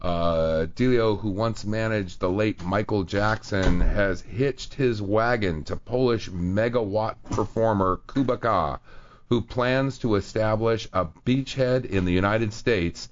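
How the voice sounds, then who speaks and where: A man's voice: fairly low pitch, unhurried, muffled recording, slight background noise. A man hosting a radio talk show, chatting casually and reading quotes aloud with many fillers. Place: radio studio.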